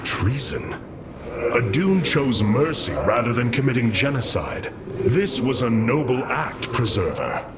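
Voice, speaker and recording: A man's voice: mid-range voice, sing-song, unhurried, heavy background noise. A man playing a noble, resolute alien war leader in a game, speaking formally with grave command. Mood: firm, reproving. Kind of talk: scripted game cutscene dialogue.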